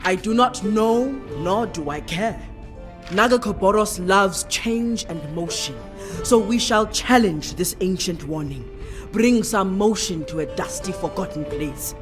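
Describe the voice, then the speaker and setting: A woman's voice: medium pitch, expressive, close to an everyday voice. Resolute, solemn priestess played by a woman. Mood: defiant, determined. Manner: solemn, emphatic, deliberate declarations. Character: resolute, devout priestess champion defying her elders. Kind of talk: dramatic video game acting. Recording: music behind the voice.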